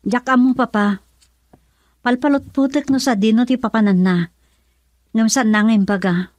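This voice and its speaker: Actress as a high-voiced, pleading Ilocano wife. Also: female voice, moderate pace, a little mellow, no room sound, lightly acted. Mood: worried. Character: worried young wife pleading with husband and father. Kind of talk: Ilocano radio drama acting.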